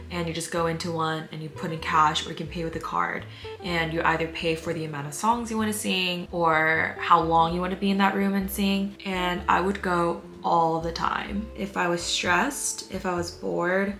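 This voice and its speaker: A woman, talking softly and reflectively through a get-ready-with-me vlog voice-over. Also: on the low side, echoey room. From United States. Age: 20s. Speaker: beauty vlogger.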